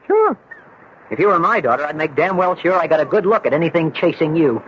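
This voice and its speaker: An American actor playing an earnest, proud young surfer dropout in a 1970s radio drama, defensive and plucky. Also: male voice, a little high, very animated, fairly quick. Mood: defensive, wry. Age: young adult. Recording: dull, muffled vintage radio recording.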